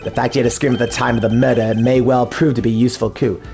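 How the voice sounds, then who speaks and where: A man voicing a composed, formal male prosecutor who questions a suspect in precise, measured phrases. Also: medium pitch, little pitch movement, creaky, a little mellow, faint music behind, pitched below the performer's own voice, lightly acted. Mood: cold. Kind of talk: fan dub of a video game.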